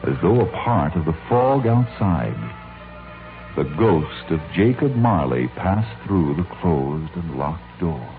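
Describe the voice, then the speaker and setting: An actor as a dramatic, measured narrator telling a ghost story in 1940s radio style. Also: male voice, low voice, animated, exaggerated voice. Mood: dramatic, suspenseful. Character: grave, dramatic male storyteller of a ghost tale. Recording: narrow-band 1940s radio recording. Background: music.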